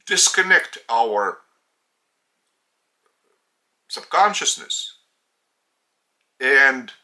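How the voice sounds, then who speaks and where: A man with a Lithuanian accent, a native Lithuanian speaker, giving a religious teaching talk in deliberate, halting short phrases. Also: mid-range voice, pushed and bright. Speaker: spiritual teacher.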